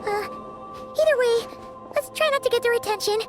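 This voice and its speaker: A woman voicing a small, childlike, nervous and chatty companion creature in a video game, with a squeaky voice. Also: brisk, music in the background. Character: small, childlike, jittery but chirpy floating companion creature.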